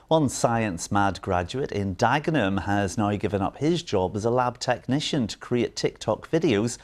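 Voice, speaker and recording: A man's voice: fairly low pitch, darkish tone. A man reading scripted broadcast news as the presenter.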